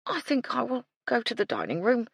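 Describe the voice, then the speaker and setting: A woman voicing a nervous, fussy man with a thin, soft voice, speaking in an anxious, prim way. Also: a little high, wildly swooping pitch, brisk, vocal fry, husky, dry recording, clean studio sound, voice pushed up, exaggerated voice. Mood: fretful.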